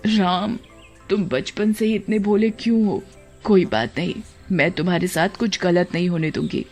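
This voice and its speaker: A woman's voice: on the low side, moderate pace, darkish tone. A woman voicing a protective witch in a cartoon story, muttering scornfully to herself. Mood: tender, resolute. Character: protective female witch, scornful of a greedy wife. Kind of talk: Hindi cartoon story voice acting. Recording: background music.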